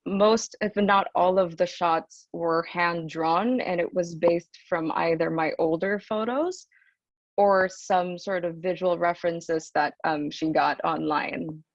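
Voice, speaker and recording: A woman singer with a deep, low alto voice, talking over a video call, soft-spoken and hesitant with many "um"s. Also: steady tone, slow, no echo. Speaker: singer, interview guest. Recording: dull, dry video-call audio.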